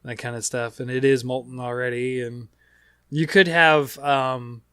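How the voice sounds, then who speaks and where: A man hosting a casual gaming podcast, talking in a rambling, halting way. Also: mid-range voice, fairly even intonation, a bit bright, clear, resonant tone, dry recording, clean studio sound. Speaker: podcast host.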